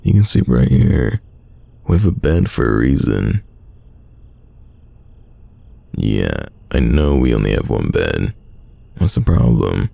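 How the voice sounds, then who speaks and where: A man acting a teasing, stubborn young friend in an intimate roleplay audio drama, with a very deep, gravelly voice and a casual delivery full of drawn-out sighs. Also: big pitch swings, warm tone, dry recording, heavily acted. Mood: exasperated teasing.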